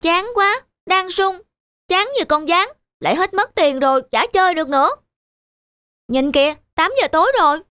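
A woman voicing a sulky, defensive teenage schoolboy who complains whinily in an animated drama. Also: extremely high pitch, moderate pace, dry recording, clean studio sound. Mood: grumbling. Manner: whiny, complaining.

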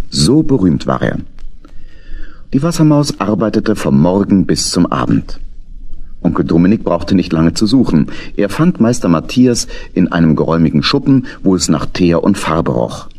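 A man's voice: deep voice, a little mellow. A man narrating a scripted children's story as the narrator of an audio play.

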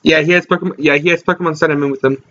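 Young guy with a high voice doing game commentary, lo-fi webcam mic, game music under it. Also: fairly even intonation, racing, fairly bright tone. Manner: jokey, reads game text aloud. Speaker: let's play host.

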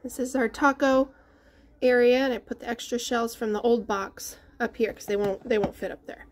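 A woman's voice: on the high side, fairly even intonation, normal speed, a little hiss or hum. Chatty young mother showing groceries. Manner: chatty, loses words, trails off. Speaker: mother of a large family, vlogger. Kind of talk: casual grocery haul walkthrough. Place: indoors at home, dining room and pantry. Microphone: handheld camera held at chest height.

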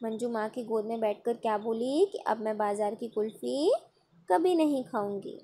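A woman's voice: on the high side, moderate pace, warm tone. A woman teacher taking a class through a worksheet, patiently asking questions and then answering them herself. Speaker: school teacher. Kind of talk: recorded online class over worksheet.

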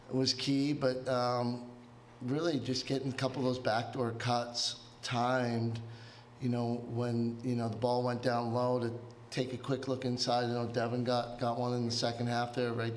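A middle-aged man, a head coach, answering reporters at a post-game press conference into a table microphone, measured and hesitant with many fillers. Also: medium pitch, slight background noise. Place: press room before a sponsor backdrop.

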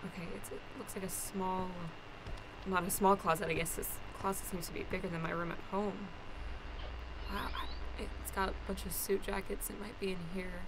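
A woman's voice: mid-range voice, little pitch movement, moderate pace, close to an everyday voice. A woman playing a nervous young girl snooping around, hesitantly talking to herself in an improvised radio play. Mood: anxious searching. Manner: hesitant self-narration, muttering. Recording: loud background noise.

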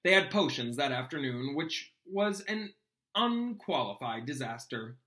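Young male teacher reading aloud to students, bright and expressive delivery, pausing to explain. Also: medium pitch. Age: young adult. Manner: expressive, acts out dialogue. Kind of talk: storybook read-aloud with plain explanatory asides. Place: small home room, indoors.

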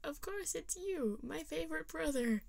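A woman's voice: high-pitched, animated, normal speed, creaky, darkish tone, dry recording, pitched above the performer's own voice, exaggerated voice. A woman voicing a protective, bossy cartoon cup boy who talks like a firm older brother. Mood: affectionate.

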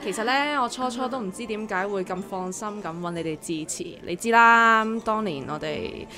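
A woman's voice: mid-range voice, moderate pace, bright, forceful tone, soft background music, natural voice. A woman with a Hong Kong accent playing a friendly but awkward young bride in a radio drama. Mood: awkwardly friendly. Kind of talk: Cantonese radio drama acting.

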